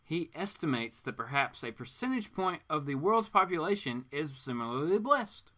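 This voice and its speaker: Man voicing a grandiose, genteel villainess, lively pitch. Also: normal speed. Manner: theatrical, formal, flattering. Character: smug female botanist villain, genteel and grandiose.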